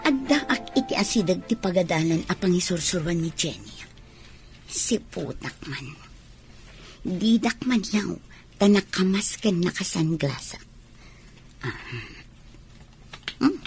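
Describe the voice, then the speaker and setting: A woman playing a scheming, spying gossip in an Ilocano radio drama, talking in drawn-out mutters and exclamations with a husky voice. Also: fairly low pitch, heavily acted.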